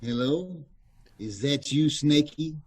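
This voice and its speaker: An actor playing a surly, shady racketeer in an old-time radio mystery, curt and slangy with a husky voice. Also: male voice, fairly high pitch, lively intonation, takes it slowly, heavily acted. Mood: shady, suspicious.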